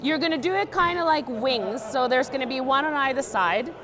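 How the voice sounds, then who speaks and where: A young woman with a fairly high voice walks through tying a fly in a deadpan, playful way. She fills her talk with ums and likes. She jokes while she works. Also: a little fast, crowd noise. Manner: deadpan, playful, many fillers. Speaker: guest fly tier. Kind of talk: joking fly-tying demo.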